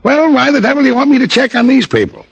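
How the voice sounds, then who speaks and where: Grumpy, slow-witted cop sidekick, old-time radio: gravelly, husky, wildly swooping pitch. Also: male voice, very animated, full character voice. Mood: exasperated. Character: slow, exasperated male police contact, grumpy and muddled. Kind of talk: 1940s-50s radio comedy-drama acting.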